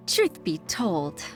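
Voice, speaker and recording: A woman's voice: lowish voice, heavily acted. A woman playing an earnest, polite young female official in a video game, with a formal, humble delivery. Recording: music behind the voice.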